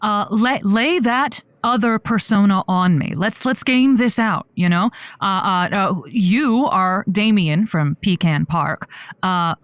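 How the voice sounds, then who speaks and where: A woman's voice: medium pitch, takes it slowly, no echo, lightly acted. A woman playing a wry, encouraging advice host in an improvised comedy radio show, teasing and emphatic.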